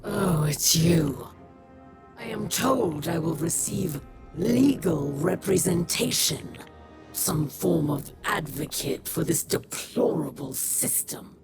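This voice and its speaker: A woman voicing a proud, bitter older female alien warlord in a video game, speaking formally and haughtily in a gravelly voice. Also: lowish voice, lively intonation, slow pace. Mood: bitter, haughty. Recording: loud music behind.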